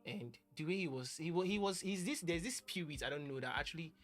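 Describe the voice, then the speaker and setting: A man's voice: on the high side, lively intonation, fast talker, a bit bright. A young man, talking casually and earnestly about a musician he admires, rambling and restarting his sentences. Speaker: music producer. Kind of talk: casual talk to camera.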